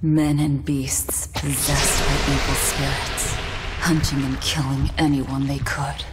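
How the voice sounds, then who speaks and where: A brooding young avenger narrates a dark fantasy game. Her voice is low and flat, each phrase slow and bitter. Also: over music. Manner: grim, brooding, deliberate. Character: embittered vengeful young woman narrating her dark tale.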